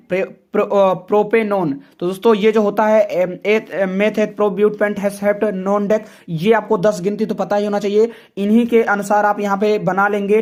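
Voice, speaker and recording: A man teaching a class, working through a science model exam paper with students in a chatty, repetitive way. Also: sits high. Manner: chatty, calls students "friends", repeats words often. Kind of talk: exam paper walkthrough lesson.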